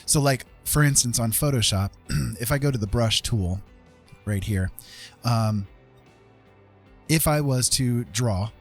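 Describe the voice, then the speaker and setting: A man's voice: mid-range voice, measured pace, pauses often, soft background music. A middle-aged man chatting casually on an art livestream in a self-deprecating, hesitant, informal tutorial manner. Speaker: livestreaming digital artist.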